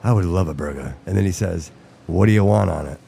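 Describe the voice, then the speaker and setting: A man whose first language is Portuguese, hosting a live show from a restaurant and chatting in an animated, rambling way with repeated phrases. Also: low-pitched, quick pace, gravelly. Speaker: host. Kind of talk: casual live-stream chat. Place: restaurant venue. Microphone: headphones with mic.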